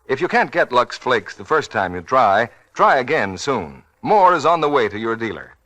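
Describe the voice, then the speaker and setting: American male announcer, 1940s radio sales read, lively intonation, medium pitch. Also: normal speed, no echo.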